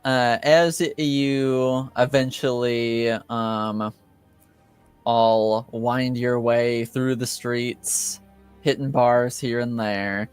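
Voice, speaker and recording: Slow, halting male game master. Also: mid-range voice, flat delivery, smooth, no echo, soft background music. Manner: hesitant, halting narration. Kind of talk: narrating a tabletop roleplaying game.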